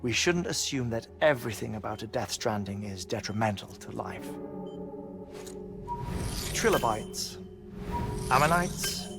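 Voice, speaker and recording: An earnest scientist in a video game, laying out theory in a precise, scholarly way. His pitch sits in the middle, with a game score playing clearly behind him. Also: lively intonation, over loud music. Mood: explaining. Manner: measured, scholarly, precise, lecturing. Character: brainy, earnest male scientist lecturing on theory. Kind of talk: video game cutscene acting.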